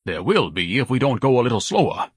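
A man's voice: medium pitch, sing-song, fairly quick, husky, no room sound, clean studio sound, pitched above the performer's own voice. A man voicing a pompous, hypochondriac, very large grown son, grandiose and complaining, in an audiobook. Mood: whining. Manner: grandiose, over-formal, complaining. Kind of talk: audiobook character voice.

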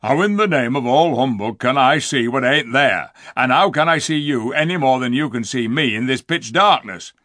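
A man voicing a surly, gruff male dwarf who scolds and sneers loudly in a storybook reading. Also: medium pitch, lively intonation, moderate pace, few pauses, no echo, clean studio sound, close to an everyday voice.

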